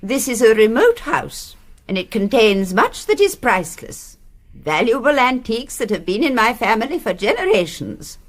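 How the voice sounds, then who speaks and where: A woman's voice: fairly high pitch, very animated, moderate pace. An actress playing a stern, prim elderly English landlady in a radio play, curt, haughty and formal in manner. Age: older.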